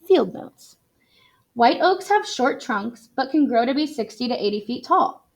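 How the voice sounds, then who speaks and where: Female teacher, slightly high pitch, reading aloud and explaining clearly to young children. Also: lively intonation, unhurried. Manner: explanatory, addressing young learners. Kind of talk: expressive read-aloud for children.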